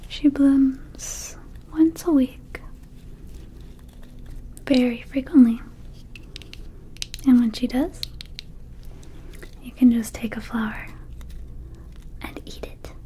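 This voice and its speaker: A woman voicing a gentle vampire dispensary keeper in a whispered ASMR roleplay, soothing and unhurried, with childlike wording. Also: a little high. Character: gentle female vampire dispensary keeper offering magic herbs.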